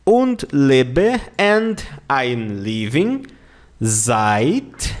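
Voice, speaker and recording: A man with a Brazilian accent and a mid-pitched voice teaches an online lesson at an unhurried, deliberate pace. He repeats each word and phrase and keeps checking in with "okay?". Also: very animated. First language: Portuguese. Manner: slow, repeats words and phrases often, says "okay?" a lot. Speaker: language teacher.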